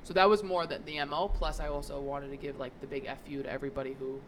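Young woman chatting on a podcast, animated storyteller, noisy background. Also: low-pitched. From New York. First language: Italian. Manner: animated storyteller, quick asides. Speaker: guest. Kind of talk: casual podcast chat.

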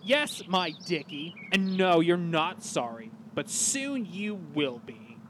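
Cartoon school prig, male actor: pompous, vengeful, high and swooping delivery, slight noise behind. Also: big pitch swings, takes it slowly, fairly bright tone, full character voice. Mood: indignant. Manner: pompous, formal, indignant. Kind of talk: animated comedy voice acting.

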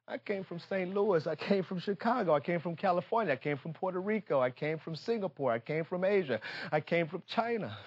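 An American man preaching a fervent sermon in an emphatic, repetitive call-and-response manner, moving between confiding talk and impassioned shouting. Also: high voice, lively intonation, fairly quick, few pauses, slightly rough, dull audio.

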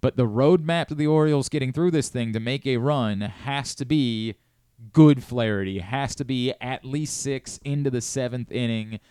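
A smooth, clear male sports radio host recorded close and dry. He talks through his analysis casually, rephrasing and stressing his points as he goes. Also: mid-range voice, moderate pace, mellow, dry recording. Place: radio studio.

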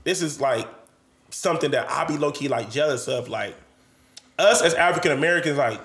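A man's voice: on the high side, a little fast, gravelly, fairly bright tone, echoey room. A man from Columbus, Ohio, co-hosting a casual chat, slangy and profane, full of "like" and "you know".

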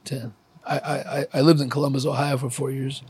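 A man's voice: medium pitch, flat delivery, fairly quick, a little mellow, husky. A man from Ukraine hosting a casual, candid interview chat. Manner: candid, reflective, swears casually. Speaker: podcast host.

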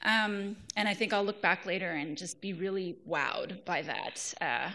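A woman's voice: lowish voice, measured pace, pushed and bright. A woman in her thirties with a scratchy voice, reading her own essay at a live event. She speaks earnestly, with fillers and restarts. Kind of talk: live reading with spoken introduction. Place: arts center event hall.

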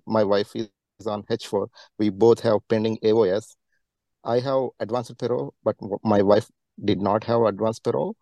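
Guy on a call-in, lower voice, no room sound at all, carefully asking his question. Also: warm tone, no room sound, poor audio. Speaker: caller asking for advice.

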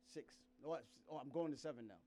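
A man's voice: fairly high pitch, fast, warm tone, a little hiss or hum. A man from South Sudan telling a rambling personal story in casual chat, laughing often and restarting his sentences.

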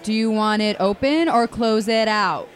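A woman's voice: medium pitch, a little slow, lightly acted. A woman playing a no-nonsense bar server in a casual audio drama. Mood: businesslike. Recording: busy crowd noise behind.

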